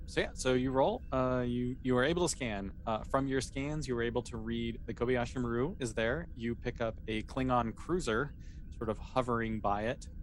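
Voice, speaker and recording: A man casually improvising narration as the game master of a tabletop role-playing game, with conversational, halting phrasing. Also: medium pitch. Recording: clear background noise.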